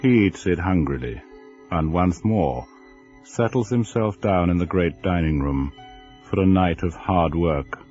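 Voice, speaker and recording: An actor as the grave, deliberate narrator of a radio ghost story, telling it with suspense. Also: male voice, low voice, lively intonation, darkish tone, soft background music. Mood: measured. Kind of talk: scripted dramatic narration of a horror story. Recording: dull lo-fi old radio recording.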